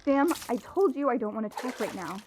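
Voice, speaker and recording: A high-voiced, harried young mother in a video game drama. She is minding a small child. Noise and clicking sit clearly behind her voice. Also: sing-song, brisk. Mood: strained. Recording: noisy background with clicks.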